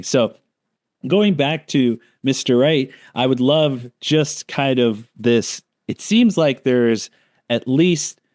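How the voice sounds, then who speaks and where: A man's voice: mid-range voice, darkish tone, no room sound, clean studio sound. A man hosting a podcast interview, asking long, rambling questions. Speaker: podcast host. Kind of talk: casual interview. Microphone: remote call.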